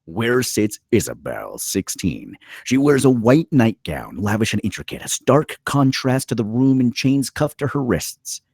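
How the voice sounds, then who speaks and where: A man's voice: medium pitch, very animated, measured pace, hardly any pauses, darkish tone, slightly rough, no echo, clean studio sound. A man narrating stage directions from a script in a dramatic storytelling style.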